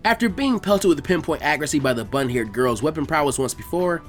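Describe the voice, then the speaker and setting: Guy reading fanfic, expressive, pitch moves around a lot, soft music behind. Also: brisk. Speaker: fan fiction narrator. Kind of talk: expressive scripted story narration.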